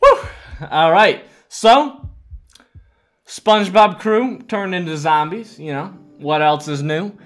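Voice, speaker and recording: A man in his twenties giving excitable, dramatic and jokey gameplay commentary as he hosts a gaming video. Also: high voice, slow pace, faint music behind. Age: 20s. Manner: dramatic, jokey, talks to game characters.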